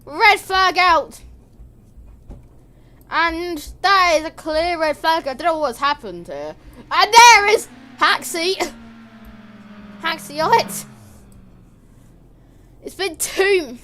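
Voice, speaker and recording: A woman commentating a live sim racing stream. She rambles quickly in casual chat and breaks into excited shouting at crashes. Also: extremely high pitch. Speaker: livestream race commentator. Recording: steady background noise and hum.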